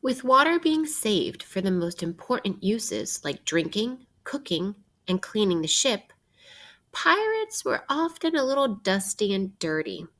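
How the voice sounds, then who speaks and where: A woman teacher reading a picture book aloud to children in a deliberate, instructive way, posing questions as she goes. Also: medium pitch, sing-song, fairly bright tone.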